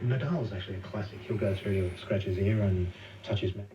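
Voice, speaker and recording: A man's voice: fairly low pitch, a little fast, very noisy. A man explaining things conversationally as an expert guest in a radio interview, heard muffled and distant through radio playback.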